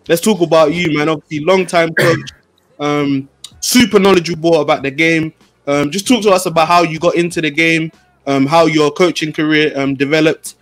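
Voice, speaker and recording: A man hosting a casual sports podcast, relaxed and friendly, often tagging "man" onto his sentences. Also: fairly high pitch. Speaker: podcast host. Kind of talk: casual chat.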